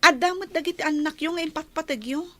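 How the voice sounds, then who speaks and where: High-voiced, earnest young daughter played by a woman. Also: a little fast, a bit bright, no room sound. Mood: firm, anxious. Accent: Ilocano. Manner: earnest, pleading, respectful to elders. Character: devoted, anxious young daughter pleading to nurse her sick mother. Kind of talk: Ilocano radio drama acting.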